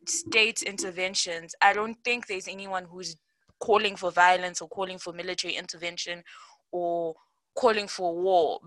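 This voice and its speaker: Bright-voiced woman, dry close sound. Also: medium pitch, no room sound, poor audio. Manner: thoughtful, rephrases mid-sentence. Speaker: panel speaker on Zimbabwe. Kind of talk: panel discussion, unscripted.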